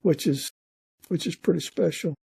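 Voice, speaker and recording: A male podcast host with a fairly high, husky voice and a soft, dark tone. His speech is creaky as he chats casually with his guest. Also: normal speed. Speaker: podcast interviewer. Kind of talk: casual interview chat.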